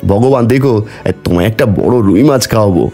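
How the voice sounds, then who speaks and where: A man voicing a greedy, excitable village fish seller in a cartoon, animated and full of exclamations. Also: fairly low pitch, fast talker, soft background music, natural voice. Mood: scheming. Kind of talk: cartoon voice acting.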